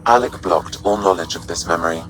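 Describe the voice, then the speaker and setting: An actor playing a calm, dutiful artificial intelligence in a video game, precise, formal and composed. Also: male voice, deep voice, brisk, soft background music, low-quality recording. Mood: calm, informative. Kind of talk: video game dramatic acting.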